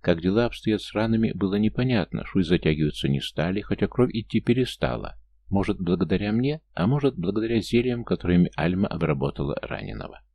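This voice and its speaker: A man's voice: low voice, lively intonation, fast, warm tone. A man reading a first-person fantasy story as a scripted audiobook narration.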